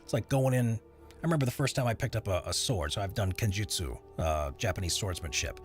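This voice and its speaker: A man with a very low voice talks casually on a live stream while he works, and his pitch swings widely from phrase to phrase. He rambles and answers chat as it comes in. Faint music sits under him. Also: expressive, a little fast. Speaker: streamer editing voiceover audio.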